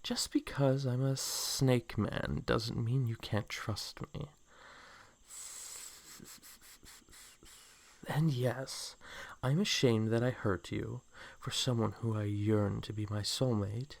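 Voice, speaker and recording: A man's voice: mid-range voice, fairly even intonation, slow, creaky. A man acting a suave, possessive snake man in a comic dub, coaxing with flowery, condescending endearments. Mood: pleading, wheedling. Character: suave, possessive snake man courting his captive love.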